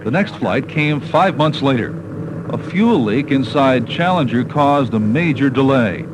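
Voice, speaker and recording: A man's voice: mid-range voice, animated, unhurried, heavy background noise. A man with an American accent reads scripted documentary narration, recorded on a 1980s cassette tape. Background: background music.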